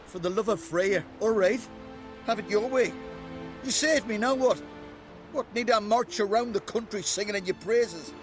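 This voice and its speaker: An actor playing a boastful, grumbling islander in a video game. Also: male voice, high voice, normal speed, over music, lightly acted. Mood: grudging. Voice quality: brash, grumbling. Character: cocky foul-mouthed male islander, boastful and grudging.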